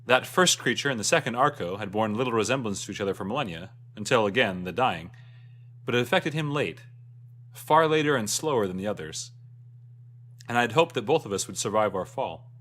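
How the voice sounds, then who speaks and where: A man voicing an ageless, wistful immortal who tells a first-person fantasy tale in a solemn, measured way. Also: fairly low pitch, animated, a bit bright. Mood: wistful, admiring. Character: ageless, wistful immortal recounting an old jealous friendship.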